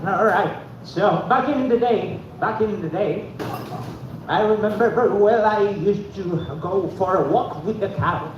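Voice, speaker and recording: A man from Argentina, doing a deadpan, self-deprecating open-mic stand-up set and talking back to the audience. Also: sits high, measured pace. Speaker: stand-up comedian. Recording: echoey room from far off, dull, noisy.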